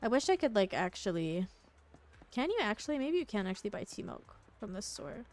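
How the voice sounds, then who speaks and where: A young woman game streamer with an average-pitched voice. She talks informally and playfully, in the manner of casual live commentary. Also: big pitch swings, a little fast, darkish tone.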